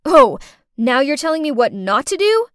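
A woman's voice: sits high, sing-song, normal speed, dry recording, studio quality. A woman playing an exasperated young heroine in a story, indignant and melodramatic. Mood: urgent, confrontational.

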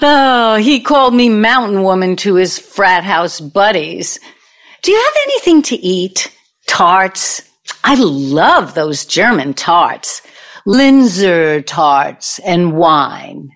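A woman's voice: fairly low pitch, animated, slow. A woman acting in an audio play as a brazen, needling older ex-girlfriend out for revenge, blunt and theatrical. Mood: teasing, prying.

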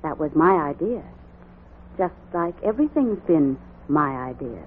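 A woman's voice: sits low, big pitch swings, unhurried, slightly rough, full character voice. An actress playing a scheming, domineering wife who coaxes her criminal husband in a 1940s radio drama. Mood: bossy, impatient. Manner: bossy, then sweetly cajoling. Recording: muffled, tinny 1940s radio recording, faint noise.

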